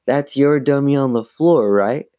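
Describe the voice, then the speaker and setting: A man's voice: mid-range voice, unhurried, warm tone, clear, resonant tone, dry recording, studio quality, voice pushed up, close to an everyday voice. A man voicing a stern, exasperated mother in a children's horror story, scolding with emphasis. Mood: firm, weary.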